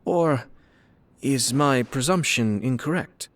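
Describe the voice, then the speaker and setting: Poised, courtly young noble lord. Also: male voice, medium pitch, fairly bright tone, a little hiss or hum. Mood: courteous, firm. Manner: formal, measured, courtly phrasing. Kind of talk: video game character acting. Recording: faint music behind the voice.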